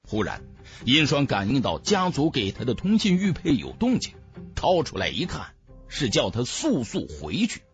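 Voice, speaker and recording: A man narrating an audiobook in a dramatic, theatrical, expressive storyteller's manner. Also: mid-range voice, moderate pace, faint music behind.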